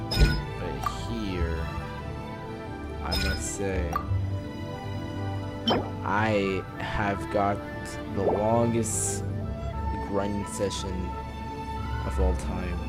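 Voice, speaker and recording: A man giving casual, halting gameplay commentary with offhand remarks. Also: medium pitch. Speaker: gamer, let's player. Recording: game music behind commentary. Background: video game music and sounds.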